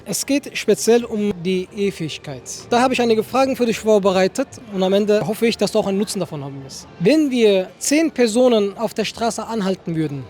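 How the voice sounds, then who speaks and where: Man, high-voiced street interviewer, lively, brotherly asides, faint music bed. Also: fairly quick, few pauses. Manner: lively, brotherly, exclaims "krass" and "MashaAllah". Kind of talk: street interview with religious appeal. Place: city street outdoors.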